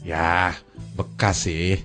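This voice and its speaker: An actor playing a jovial, boastful and excitable man in a radio drama. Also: deep voice, extremely slow, soft background music, exaggerated voice. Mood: jovial, proud. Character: boastful man proud of his battered used car.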